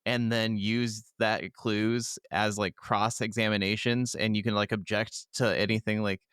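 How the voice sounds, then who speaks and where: Smooth, low-voiced male podcast co-host. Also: level tone, a little slow, a bit bright, no room sound, clean studio sound. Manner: slangy, peppered with swearing and "like". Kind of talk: casual chat.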